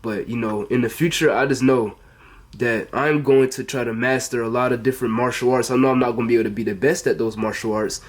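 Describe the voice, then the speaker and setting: A man's voice: mid-range voice, steady tone, fast talker. Earnest American teenage boy vlogging. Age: teenager, under 18. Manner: earnest, rambling, repetitive. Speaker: fitness vlogger. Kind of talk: casual chat to camera. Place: bedroom at home, indoors.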